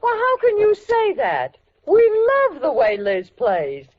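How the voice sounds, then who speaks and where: A woman's voice: sits high, huge pitch swings, slow pace, heavily acted. A woman playing a fussy, effusive wife in a 1940s radio comedy, exclaiming as she talks. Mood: indignant. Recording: muffled old radio recording.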